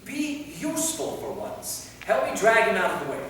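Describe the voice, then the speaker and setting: A man's voice: extremely high pitch, wildly swooping pitch, moderate pace, a bit bright, exaggerated voice. A man playing an anguished, weary and bitter immortal vampire in a staged reading. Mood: exasperated. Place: theater, recorded from the audience distance. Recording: echoey hall from far off, faint noise.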